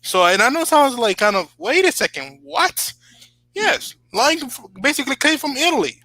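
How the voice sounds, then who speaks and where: A man whose first language is Spanish, chatting casually as the host of a talk show, rambling with many fillers and rising to animated emphasis. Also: very high-pitched, normal speed, no room sound, poor audio. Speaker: channel host. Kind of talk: casual podcast chat.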